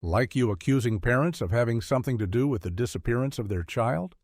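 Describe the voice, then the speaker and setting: A man's voice: mid-range voice, lively intonation, brisk, a little mellow, dry recording. A man hosting a scripted satirical interview, blunt, sarcastic and confrontational in his retorts. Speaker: host, mock interviewer.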